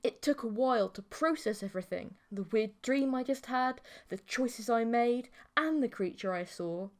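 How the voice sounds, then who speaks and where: A woman's voice: on the high side, expressive, normal speed. A woman narrating a scripted first-person audiobook story.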